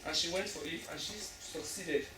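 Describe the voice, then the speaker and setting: A woman's voice: sits low, moderate pace. A woman from Africa speaking emphatically as a panelist after a stage play, often restarting her phrases. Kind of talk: earnest audience discussion. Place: school hall. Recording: echoey hall from far off, noisy.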